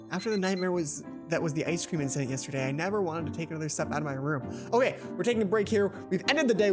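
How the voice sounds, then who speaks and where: A woman hosting a let's play, reading a visual novel aloud with casual, playful asides. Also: fairly low pitch. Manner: playful asides, self-correcting.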